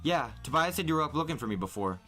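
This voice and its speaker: Man playing an earnest, bright-voiced teenage younger brother. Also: a little high, expressive, fast, faint music behind, pitched above the performer's own voice. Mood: hesitant. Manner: incredulous, earnest. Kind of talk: acted storybook dialogue.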